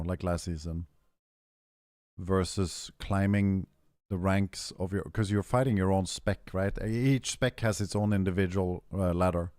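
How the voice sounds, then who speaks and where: Young guy streamer, hoarse scratchy throat, deep voice, dry close sound. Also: warm tone, dry recording. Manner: rambling, frequent throat clearing. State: hoarse, losing his voice. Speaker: game streamer. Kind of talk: casual stream chat, answering chat.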